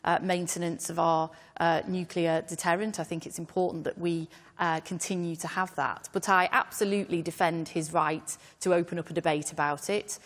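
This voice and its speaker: Low female panelist, firm, hall reverb. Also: steady tone, normal speed, few pauses. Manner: firm, corrective. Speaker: panelist, politician. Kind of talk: televised debate.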